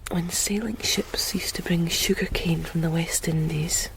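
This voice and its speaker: A woman's voice: lowish voice, steady tone, measured pace, whispered, poor audio. An actress playing a teasing young woman student from Greenock, with a West of Scotland accent. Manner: teasing, soft and hushed.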